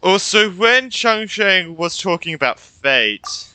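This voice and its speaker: A woman's voice: on the low side, sing-song, slow, pushed and bright, clean tone, dry recording. A woman giving casual reactions while playing a video game, with music behind her. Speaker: game streamer.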